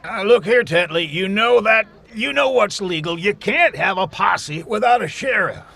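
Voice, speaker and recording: A man playing a fussy, agitated stickler for the law in a radio western, with a raspy voice. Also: sits high, lively intonation, normal speed, bright, forceful tone, full character voice. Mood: agitated protest. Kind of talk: old-time radio western acting.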